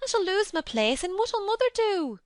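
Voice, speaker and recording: A woman voicing a flustered young Yorkshire maid, kindly and fearful, speaking broad dialect in a high, anxious voice. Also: sing-song, moderate pace, a bit bright, dry recording, studio quality.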